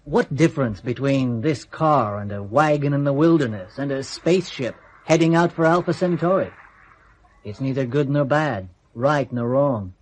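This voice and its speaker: An actor as a solemn, fatalistic astronaut. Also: male voice, mid-range voice, normal speed, natural voice, poor audio. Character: grave, fatalistic male astronaut, philosophical and resolute. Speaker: radio actor. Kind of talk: radio drama acting.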